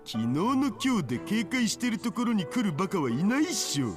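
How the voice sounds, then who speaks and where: A man's voice: sits high, sing-song, very fast. An actor voicing a blunt, laid-back, nerdy young man in an anime-style visual novel. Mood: matter-of-fact. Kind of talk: Japanese visual novel voice acting. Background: game music.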